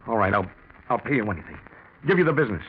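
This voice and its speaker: A man's voice: fairly low pitch, big pitch swings, racing. An actor playing a frightened business partner pleading for his life in a 1940s radio drama. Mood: desperate pleading. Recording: muffled, hissy 1940s radio recording.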